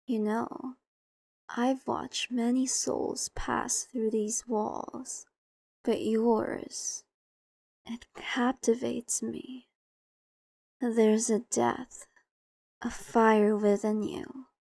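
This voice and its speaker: A woman's voice: a little high, flat delivery, very slow, gravelly, long pauses, darkish tone, dry recording, full character voice. A woman playing a seductive, possessive, ancient vampire mistress in an ASMR roleplay, coaxing and condescending, sometimes slipping into whispers. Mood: seductive, indulgent. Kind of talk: ASMR roleplay acting, addressed to the listener.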